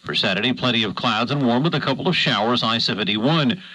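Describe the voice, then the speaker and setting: Radio weather guy, bright forceful voice, dry close sound, brisk read. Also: medium pitch, dry recording, rough recording. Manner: brisk, scripted announcer delivery.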